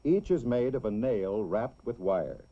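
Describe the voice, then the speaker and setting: Casting: male narrator for a children's instructional film, measured and explanatory, dull vintage soundtrack sound. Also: medium pitch, very animated. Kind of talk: scripted educational film narration.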